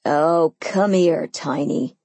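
A woman's voice: deep voice, fairly even intonation, takes it slowly, vocal fry, darkish tone, husky, dry recording, voice pushed down, full character voice. A woman voicing a cocky, playful young man in an audiobook, casual and teasing. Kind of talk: dramatised audiobook dialogue.

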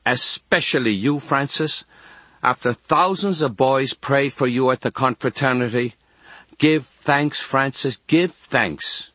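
A man voicing a brisk Irish hospital nun in a storybook reading, admonishing and emphatic. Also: medium pitch, fairly bright tone, no echo, pitched above the performer's own voice. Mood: scolding.

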